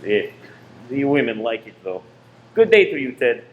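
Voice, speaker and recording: A man's voice: medium pitch, sing-song, normal speed, lo-fi sound, lightly acted. A man acting an evasive, grumbling husband and cinema owner in an amateur radio play, defensive in manner. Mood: casual. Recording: echoey room with faint noise.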